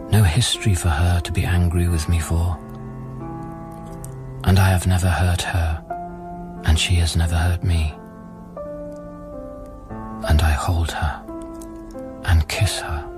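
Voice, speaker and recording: Audio play lead: weary, introspective scientist, very low register, unhurried inward narration. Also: male voice. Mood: hushed, tender. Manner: measured, introspective, hushed at times. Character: brooding, weary male scientist narrating his private thoughts. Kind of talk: dramatic first-person narration in an audio play.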